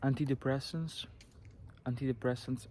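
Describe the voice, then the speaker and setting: A young man from Italy with an Italian accent, talking earnestly to camera and sharing advice, often pausing and restarting his sentences. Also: medium pitch, fairly even intonation, darkish tone, a little hiss or hum. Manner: earnest, halting, with many restarts and fillers. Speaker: vlogger. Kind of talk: personal advice talk to camera.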